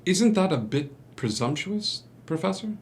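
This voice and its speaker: A man's voice: sits high, animated, unhurried. An actor playing a smug, insolent young male student, clipped and challenging, in a theatrical table read. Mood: cool, challenging.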